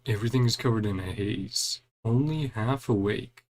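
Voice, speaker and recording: A man's voice: lowish voice, normal speed, darkish tone. A man giving casual commentary on a game as he plays, musing aloud and reading bits of dialogue. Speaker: gaming streamer.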